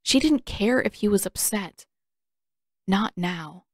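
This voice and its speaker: A woman's voice: mid-range voice, lively intonation, takes it slowly, no room sound. Woman narrator, very dry recording. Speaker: audiobook narrator. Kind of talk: scripted dramatic fiction narration.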